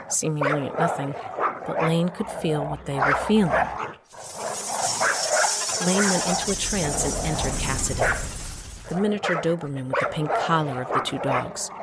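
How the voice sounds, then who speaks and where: A woman playing a devoted mother who narrates her story dramatically and deliberately in an audio drama. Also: low voice, very noisy, lightly acted. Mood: tense recounting.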